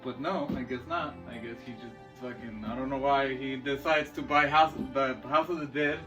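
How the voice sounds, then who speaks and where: A man's voice: medium pitch, steady tone, normal speed, fairly bright tone. A man co-hosting a podcast, talking casually and off the cuff about films and games with slangy, profane, jokey banter. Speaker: podcast co-host. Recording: far from the mic, music behind.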